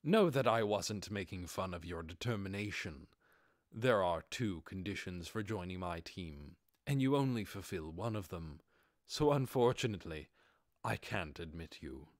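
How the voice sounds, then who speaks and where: A man voicing a composed, calculating undead overlord who poses as an adventurer, speaking in a measured, formal and magnanimous way in an audiobook. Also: low voice, animated, dry recording, studio quality, pitched below the performer's own voice. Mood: apologetic, measured.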